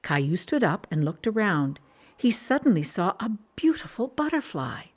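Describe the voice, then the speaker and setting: A woman narrating a scripted children's storybook with expressive, clear phrasing. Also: mid-range voice, moderate pace.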